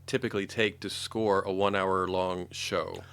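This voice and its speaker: A man with a low-pitched voice hosts a casual interview. He asks simple, conversational questions and slips in brief words of agreement. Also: little pitch movement, measured pace, a bit bright, smooth. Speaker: podcast host, interviewer.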